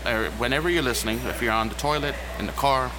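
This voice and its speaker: A man's voice: medium pitch, fast talker. Male podcast guest, jokey and rallying, mid-range voice; noisy recording with vehicles behind. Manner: joking, animated, rallying. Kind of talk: casual roundtable chat. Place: cigar lounge. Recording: noisy with passing traffic.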